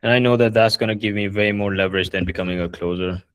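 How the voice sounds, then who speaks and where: A man hosting a casual group video call, relaxed and hesitant, often restarting his sentences. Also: lowish voice, fast talker, clear, resonant tone, no room sound. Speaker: call host answering members' questions.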